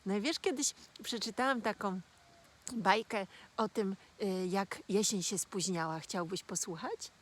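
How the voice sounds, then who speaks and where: A woman gently telling a children's fairy tale as a storyteller. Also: mid-range voice, unhurried.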